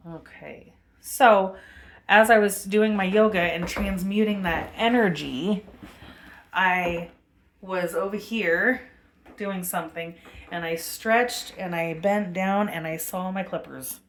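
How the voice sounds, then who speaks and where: A woman vlogging a rambling spiritual monologue to her camera, meandering with many fillers and pauses, with a commanding voice. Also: medium pitch, a little hiss or hum. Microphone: webcam.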